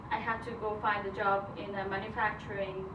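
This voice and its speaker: A woman in her twenties from Afghanistan, telling her personal story in a halting speech, pausing mid-phrase. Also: medium pitch, level tone, muffled recording. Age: 20s. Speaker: grant recipient giving a speech. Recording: roomy, distant mic, noisy event hall.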